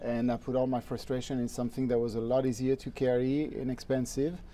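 Relaxed French-accented man in a studio. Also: medium pitch, fairly quick. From France. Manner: relaxed, easygoing, laughs often. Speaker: guest musician. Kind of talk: casual interview chat. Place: TV studio set.

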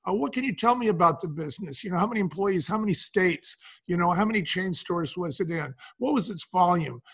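Husky guy, kinda high voice, business advisor type, folksy, asks himself questions then answers em. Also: animated, brisk. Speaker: guest, business advisor and brand founder. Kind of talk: conversational explaining in an interview.

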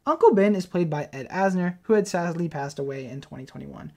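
Man, quick pace, informal fan commentary, video host. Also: fairly high pitch, lively intonation, vocal fry, dark tone.

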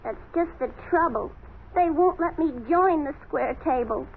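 A woman's voice: sits high, lively intonation, a little slow, gravelly, narrow, tinny audio, heavily acted. A woman playing an earnest, wistful, boyish young talking jackknife in a 1940s radio fantasy. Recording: thin, noisy 1940s radio recording.

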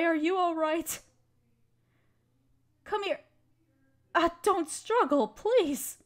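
Casting: a woman to play a spider queen for audio roleplay. High-pitched female voice, gentle and motherly, anxious, pleading, carefully spoken. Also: full character voice. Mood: apologetic, pleading. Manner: tender, pleading, careful phrasing. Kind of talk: scripted audio roleplay addressed to listener.

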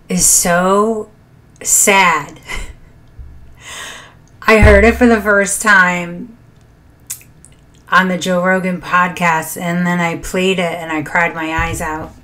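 A middle-aged woman hosting a reaction video, chatting to camera in a low, quiet voice from a small home room. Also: steady tone, drawn-out words, pauses often. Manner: soft-spoken, laughs between thoughts. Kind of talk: casual chat to camera.

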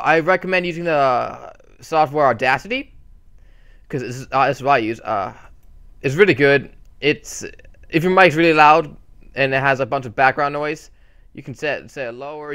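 A man's voice: mid-range voice, normal speed, pauses often, a bit bright, clear, resonant tone. A man hosting his channel, giving a casual, rambling update full of fillers and restarts on a desktop mic. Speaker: channel host.

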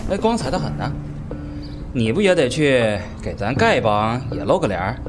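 A man's voice: mid-range voice, very animated, moderate pace, close to an everyday voice. A man playing a chatty beggar-clan member in a wuxia audio drama, coaxing and teasing in a folksy way. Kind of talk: colloquial Chinese wuxia audio drama acting. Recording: music under the voice.

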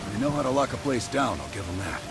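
An actor playing a gruff, seasoned intelligence operative in a video game, terse, controlled and commanding. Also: male voice, mid-range voice, big pitch swings, quick pace, loud music behind the voice, lightly acted. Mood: terse, focused. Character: gruff, seasoned male American intelligence operative leading a mission. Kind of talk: video game cinematic acting.

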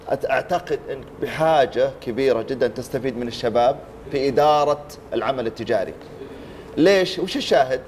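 A man on a TV panel giving a contestant measured advice, on a lapel mic in a studio. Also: mid-range voice, darkish tone, slight background noise. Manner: measured, advising. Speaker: judge on a talent show panel. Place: TV studio set.

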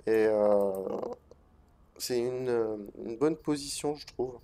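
A man with a head cold, giving relaxed, hesitant commentary over gameplay from a desk mic at home, with many fillers. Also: mid-range voice, slow pace, clear, resonant tone. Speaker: gaming video host.

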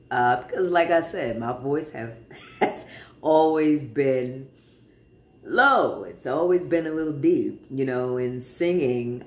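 Slow, chatty man in an echoey room. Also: medium pitch. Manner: relaxed and chatty, many pauses and fillers. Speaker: vlogger. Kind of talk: casual personal update to camera. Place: living room in front of a sofa, indoors. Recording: roomy, echoey home room.

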